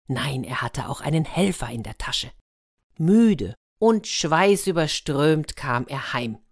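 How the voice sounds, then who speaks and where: Woman storyteller, low pitch, dry close sound, expressive children's fairy-tale narration, dramatic hushed phrasing. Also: dry recording. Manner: dramatic, with hushed passages and drawn-out words.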